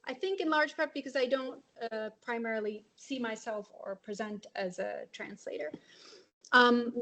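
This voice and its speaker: Woman translator on a panel, slightly high-pitched, musing through her answers. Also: poor audio. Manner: reflective, thinking aloud. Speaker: translator and publisher. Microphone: video call.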